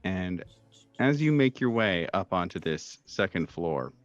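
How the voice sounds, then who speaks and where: A man narrating a tabletop horror game as game master, speaking in a halting, improvised way with many pauses. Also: low voice, very animated, no echo, lo-fi sound, faint music behind.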